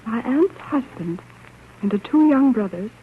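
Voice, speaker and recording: A self-possessed teenage girl in an old radio play. She is polite, grave and measured, with a rather high voice. The sound is thin and tinny, like a phone line. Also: expressive, breathy edge, full character voice. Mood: solemn, hushed. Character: composed, solemn girl of about fifteen, storyteller. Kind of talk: 1930s radio drama acting.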